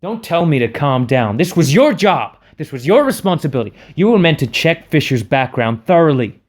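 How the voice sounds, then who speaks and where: A man's voice: fairly high pitch, big pitch swings, moderate pace. A man playing an intense, angry heist leader in an amateur audio drama, commanding and confrontational. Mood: angry, demanding.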